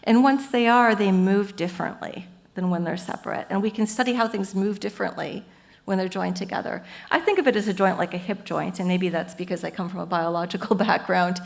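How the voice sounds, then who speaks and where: A woman professor lecturing to an academic audience, her voice carrying a noticeable gravelly fry at mid pitch. She talks in an engaged, earnest way, reaching for homely metaphors with plenty of "you know" and "um.". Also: fairly quick. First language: English. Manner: engaged, explains ideas through everyday metaphors. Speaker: professor giving a symposium talk.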